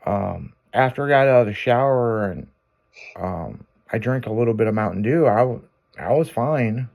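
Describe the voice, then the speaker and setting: A man's voice: mid-range voice, lively intonation, moderate pace, creaky, darkish tone. Rambling, cussing male radio host. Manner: rambling, swears a lot, trails off with fillers. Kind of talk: casual on-air chat.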